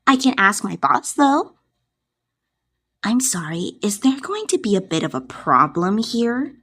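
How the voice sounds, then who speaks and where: Woman acting a bubbly hostess, very swoopy pitch, overly polite, testy edge, improvised character. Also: sing-song, unhurried, a bit bright, breathy edge, full character voice.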